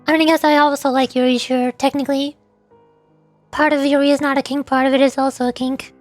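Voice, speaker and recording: A woman's voice: sits high, flat delivery, fairly quick, no room sound, faint music behind. A woman livestreamer chatting casually with her viewers in a playful, mock-indignant way. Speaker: VTuber livestreamer.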